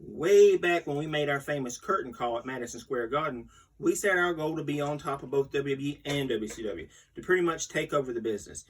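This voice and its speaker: A man's voice: on the high side, animated, brisk, slight background noise. A man reading a magazine article aloud as the host, in a scripted storytelling read. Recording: distant microphone.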